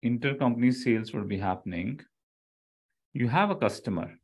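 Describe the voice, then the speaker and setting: A man's voice: medium pitch, animated, moderate pace, a little mellow, breathy edge. A middle-aged man with an Indian accent, an online instructor explaining step by step, repeating key phrases and checking in with "okay" and "right". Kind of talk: live online lecture.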